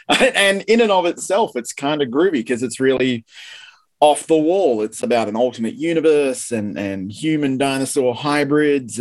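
A man speaking as a film critic guest in a casual interview, informal, opinionated and explanatory. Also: mid-range voice.

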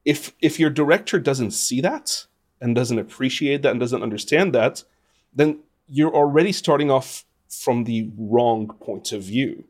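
A man's voice: mid-range voice, unhurried, darkish tone. A man giving thoughtful, opinionated answers as an interview guest, speaking conversationally and with emphasis. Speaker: interview guest, video game composer and radio presenter.

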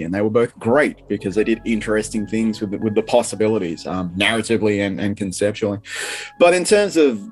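A man speaking as a film critic guest in a casual interview, informal, opinionated and explanatory. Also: on the low side.

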